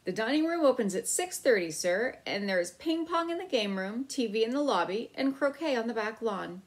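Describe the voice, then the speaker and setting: A woman's voice: on the high side, expressive, normal speed, pitched above the performer's own voice, lightly acted. A woman narrator voicing a seasoned hotel bellboy in a storybook, courteous and reassuring. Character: seasoned, polite hotel bellboy named Matt.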